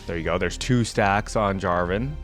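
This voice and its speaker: A man's voice: sits low, moderate pace, a bit bright, faint music behind. A young man hosting a reaction video, thinking aloud in a casual, rambling way and often repeating words.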